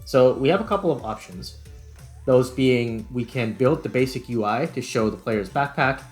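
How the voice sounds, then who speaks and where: A man's voice: mid-range voice, animated, normal speed, soft background music. A man hosting a video, talking casually and thinking aloud as he explains game development. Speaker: video creator and host.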